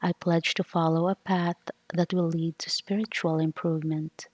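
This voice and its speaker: A woman meditation leader giving a scripted devotional recitation in solemn, deliberate phrases. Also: on the low side, flat delivery, no room sound.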